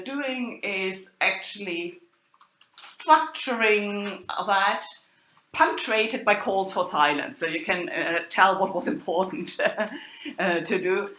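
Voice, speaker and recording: A woman giving an academic lecture as a university lecturer, speaking haltingly and thinking aloud. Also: mid-range voice, a bit bright. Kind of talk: academic lecture on medieval drama. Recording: roomy, echoing sound.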